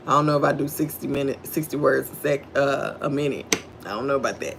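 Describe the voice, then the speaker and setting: A middle-aged woman from Houston, Texas, with a deep voice and a Southern drawl, hosting her own livestream. Her voice has a gravelly, fry-laced texture. She talks low and slow, moving between reading a devotional aloud and greeting her viewers by name. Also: noisy background. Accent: Southern US, Texan. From Houston, Texas, USA. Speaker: livestream host. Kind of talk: devotional reading and casual chat with live viewers.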